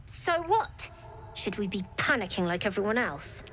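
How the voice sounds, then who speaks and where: A woman's voice: mid-range voice, sing-song, moderate pace, fairly bright tone, a little hiss or hum. A woman voicing a brash, businesslike young girl who leads child thieves in a video game. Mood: defiant. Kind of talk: video game dialogue acting.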